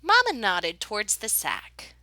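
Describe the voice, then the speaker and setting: A woman reading a children's picture book aloud in an expressive, dramatic storytelling style. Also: mid-range voice, unhurried, dry recording. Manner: dramatic, with whispered suspense and excited exclamations.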